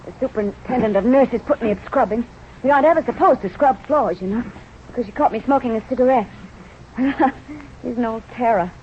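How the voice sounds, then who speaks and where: An actress playing a cheeky, devoted young nurse trainee from North Dakota in an old-time radio drama, speaking in a frank, informal way. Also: female voice, on the high side, brisk, a little hiss or hum, close to an everyday voice. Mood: grumbling, cheeky. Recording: muffled vintage radio broadcast recording.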